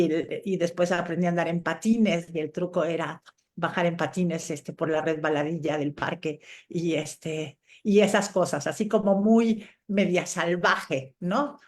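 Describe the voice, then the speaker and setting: A middle-aged woman with a slightly low voice gives casual interview answers. She grew up in Chile and Mexico and talks in a conversational, anecdotal way, as if retelling memories. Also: a little mellow. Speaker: interviewed scientist guest.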